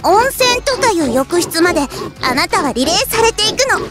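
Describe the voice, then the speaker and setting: A woman's voice: extremely high pitch, sing-song, normal speed. A woman playing a proud, bossy little girl leader who flusters easily, in a haughty tsundere anime audio drama style. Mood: imperious. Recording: music bed under the voice.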